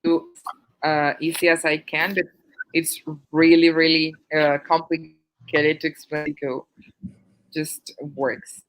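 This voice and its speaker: A woman presenting on a webinar over a video call, halting with many fillers and restarts. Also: deep voice, flat delivery, low-quality recording. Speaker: medical student presenter.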